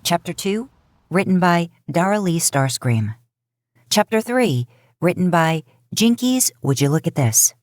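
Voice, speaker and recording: A woman narrating a fan fiction audiobook in a steady storytelling manner. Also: lowish voice, unhurried, no room sound, studio quality.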